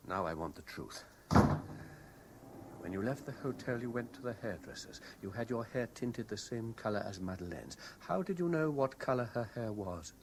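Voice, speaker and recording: A man's voice: mid-range voice, expressive, normal speed, close to an everyday voice. An actor in a 1970s radio drama playing an obsessed, domineering man haunted by a lost love, intense and insistent. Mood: demanding, accusing. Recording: noisy vintage radio broadcast recording.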